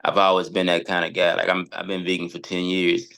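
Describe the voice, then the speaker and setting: A man's voice: low voice, monotone, fairly quick, gravelly, pushed and bright, clear, resonant tone. A man in a casual podcast chat, plain-spoken and blunt, often checking that the listener follows him. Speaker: podcast guest, comedian and producer.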